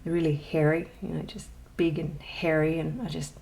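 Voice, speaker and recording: A woman's voice: low voice, little pitch movement, moderate pace, vocal fry, dark tone, poor audio. A woman chatting casually in a show-and-tell vlog, rambling and hesitant with many ums and self-deprecating asides. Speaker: colouring channel host.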